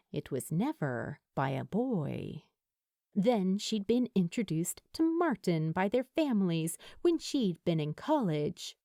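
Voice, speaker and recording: A woman reading a story aloud as a narrator, in an expressive scripted audiobook style. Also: mid-range voice, dry recording, studio quality. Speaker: fan fiction narrator.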